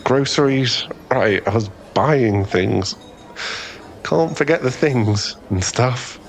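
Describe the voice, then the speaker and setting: A man playing a flustered, smitten young man in an ASMR boyfriend roleplay, halting and stammering as he rambles nervously to a crush. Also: medium pitch. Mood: embarrassed, grateful.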